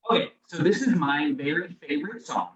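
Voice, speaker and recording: A man's voice: a little high, expressive, fairly quick, a bit bright, echoey room, recorded from a distance, lo-fi sound. A man guesting as a children's musician, explaining things simply and encouragingly to young listeners. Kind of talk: children's singalong and friendly explaining. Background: acoustic guitar.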